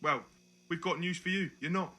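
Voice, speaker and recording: Give the voice a very sharp, forceful edge, sitting slightly high for a man. It is a man acting a sneering junior detective in a dystopian audio drama. He mocks and bullies, while toadying to his boss. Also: moderate pace. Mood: mocking, menacing. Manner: taunting, sarcastic, sycophantic to his boss. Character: sneering, mocking male detective constable bullying a prisoner.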